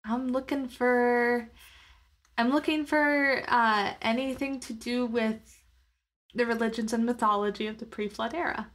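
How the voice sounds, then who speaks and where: A woman's voice: on the high side, fairly even intonation, measured pace, a bit bright. A woman playing a tabletop roleplaying game, casually describing her character's actions in a thoughtful, hesitant way. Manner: thoughtful, hesitant, searching for words.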